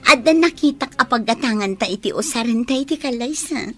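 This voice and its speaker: A woman's voice: on the high side, expressive, brisk, fairly bright tone, faint music behind. An actress playing a lovestruck, insistent young woman pleading earnestly in a radio drama, with a Filipino accent.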